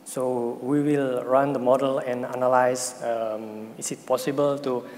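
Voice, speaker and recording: A man's voice: mid-range voice, little pitch movement, measured pace, a little mellow. A man giving a technical conference presentation and answering questions, hesitant, with many fillers and restarts. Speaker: presenting researcher. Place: conference hall. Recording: hall reverb, faint noise.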